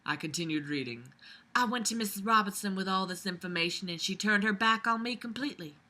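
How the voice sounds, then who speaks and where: A woman's voice: mid-range voice, animated, quick pace, pushed and bright. A young adult woman reading fiction aloud with weary, exasperated, sarcastic commentary and plenty of swearing. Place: small bedroom, lying on a bed.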